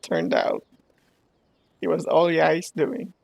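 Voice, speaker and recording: A man with a gravelly, husky voice giving casual reaction commentary as he plays a video game. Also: a little high, wildly swooping pitch, slow pace, a little mellow, dry recording, low-quality recording. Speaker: gaming streamer. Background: game music.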